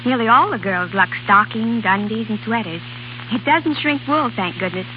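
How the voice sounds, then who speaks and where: A woman's voice: on the high side, lively intonation, moderate pace, close to an everyday voice. A woman playing a chirpy, wholesome college freshman in a 1930s radio advertising playlet, with a gushing, slangy manner. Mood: cheerful. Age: young adult. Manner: bright-eyed, gushing, slangy. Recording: dull, faintly noisy 1930s radio recording.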